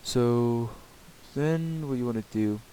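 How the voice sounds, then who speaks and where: A man giving a casual, improvised software tutorial in a hesitant, rambling way. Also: medium pitch, slow pace, clean tone. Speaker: tutorial presenter. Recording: hissy home microphone recording.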